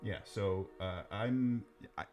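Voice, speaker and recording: A man's voice: on the low side, takes it slowly, a little mellow, soft background music. A middle-aged Canadian man co-hosting a podcast, chatting casually and joking in self-deprecating banter. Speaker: podcast co-host. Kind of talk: casual chat.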